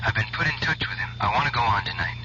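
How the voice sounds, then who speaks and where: An actor in a radio drama playing a defiant, grieving young nightclub performer obsessed with revenge, intense and insistent. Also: male voice, fairly low pitch, lively intonation, rapid-fire, natural voice. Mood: feverishly excited. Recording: dull, noisy old radio recording.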